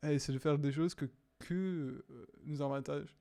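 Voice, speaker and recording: A young man casually reacting to videos, hesitant with many fillers, sometimes bursting into loud exclaiming. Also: a little high, fairly even intonation, unhurried, creaky. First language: not English.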